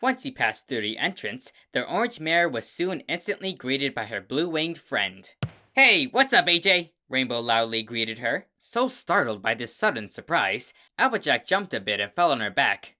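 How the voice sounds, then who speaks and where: A narrator reading a fan fiction story aloud in an expressive, scripted storytelling style. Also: medium pitch, normal speed, few pauses, dry recording.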